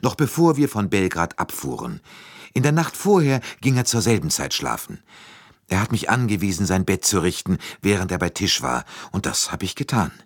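A man's voice: sits low, very animated, moderate pace, breathy edge, no echo, studio quality, voice pushed up, exaggerated voice. Man playing an anxious, deferential train conductor. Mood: deferential, explaining. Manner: anxious, deferential, eager to justify himself. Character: nervous, anxious middle-aged French sleeping-car conductor, deferential. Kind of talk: audiobook character dialogue.

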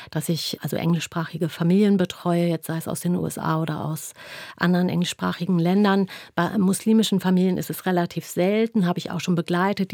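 A woman's voice: fairly low pitch, a little mellow, no echo, studio quality. A middle-aged woman giving reflective interview answers in a down-to-earth, matter-of-fact manner. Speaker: interview guest, home-birth midwife.

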